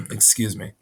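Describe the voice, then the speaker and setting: A man in his thirties from Minnesota, reading his own life story aloud at a measured pace and clearing his throat now and then. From Minnesota, USA. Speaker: memoir narrator. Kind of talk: reading a personal memoir aloud.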